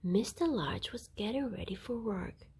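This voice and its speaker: A woman reading a children's bedtime story aloud in an expressive narrating style. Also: medium pitch, normal speed, vocal fry, slightly rough. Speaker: storybook reader.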